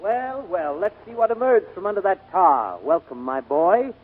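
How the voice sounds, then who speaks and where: Hearty showman colonel, florid and pompous, husky voice, old radio play, long hollow reverb. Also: sits high, big pitch swings, normal speed. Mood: blustering, genial. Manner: florid, grandiose wording. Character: hearty, pompous colonel running a travelling medicine show. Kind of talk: 1940s radio acting. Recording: hollow, tinny 1940s radio recording.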